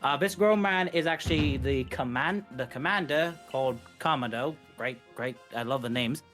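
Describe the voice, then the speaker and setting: A man of average pitch co-commentates a game speedrun in a casual, explanatory way. He puts things informally, and music plays behind his voice. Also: moderate pace, a bit bright.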